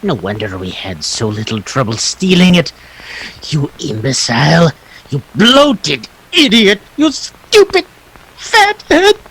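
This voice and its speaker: Un uomo che fa un complice criminale rauco e volubile. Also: male voice, on the high side, wildly swooping pitch, slow pace, a bit bright, slightly rough, full character voice, rough recording. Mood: furious. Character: excitable, venomous, scheming male criminal accomplice. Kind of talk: 1940s detective radio play acting.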